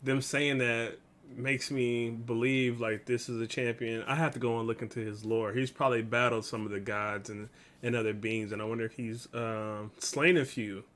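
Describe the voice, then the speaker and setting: A man's voice: medium pitch, little pitch movement, normal speed, background noise. Slangy man giving casual reaction commentary. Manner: slangy, informal, repeats phrases for emphasis. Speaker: reaction video host. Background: music.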